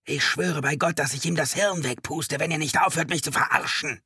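A man voicing a frantic, paranoid hostage-taker in agony for an audiobook, with a high, trembling, strained voice, ranting and accusing. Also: fairly quick, sharp tone, breathy edge, dry recording, clean studio sound, exaggerated voice. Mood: enraged, paranoid. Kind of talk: audiobook character acting.

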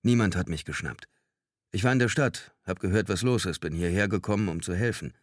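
A man voicing an earnest, worried man who pleads gently but insistently with an old friend in an audiobook. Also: sits low, a little fast, no echo, clean studio sound. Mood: urgent, reassuring. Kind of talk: audiobook character acting.

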